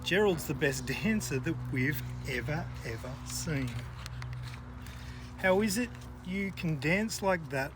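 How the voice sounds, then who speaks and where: Man voicing excitable, boisterous jungle animals, raised pitch. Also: takes it slowly. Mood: amazed. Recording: outdoor recording with background noise.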